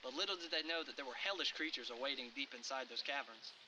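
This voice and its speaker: An actor playing a chirpy park presenter panning for gold in a horror parody of an educational video. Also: male voice, mid-range voice, fast talker, far from the mic, heavy background noise, lightly acted. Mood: cheerful, informative.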